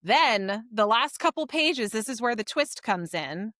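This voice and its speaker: A woman's voice: on the high side, animated, a little slow, a bit bright, no echo, studio quality. A woman co-hosting a podcast, recapping a story in an informal, conversational way. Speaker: podcast co-host. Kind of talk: casual book discussion, recapping a story.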